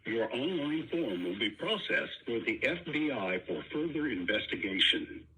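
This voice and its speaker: A man reading a scripted, formal automated phone menu announcement with measured enunciation. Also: mid-range voice, sing-song, bright, forceful tone, low-quality recording. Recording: phone menu recording with faint noise.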